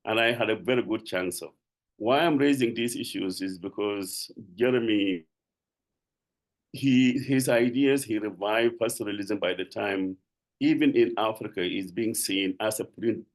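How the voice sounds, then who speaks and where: Gravelly, low-voiced South Sudanese man. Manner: halting, restarts phrases, earnest. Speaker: panelist. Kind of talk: tribute speech on a webinar.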